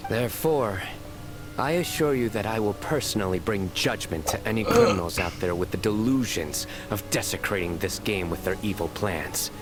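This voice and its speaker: Deep, solemn lawman played by a man. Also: music in the background, lightly acted. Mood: grave, threatening. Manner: measured, deadpan, formal. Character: stern, solemn male law enforcer with deadpan humor. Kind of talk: video game voice acting.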